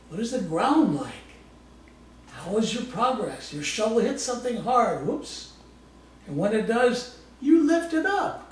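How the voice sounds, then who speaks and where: A man leading a live guided imagery exercise in a soothing, coaxing, informal way, often repeating short phrases. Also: very high voice. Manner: folksy, informal wording. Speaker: guest presenter demonstrating guided imagery. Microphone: distant microphone. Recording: echoey room from far off, faint noise.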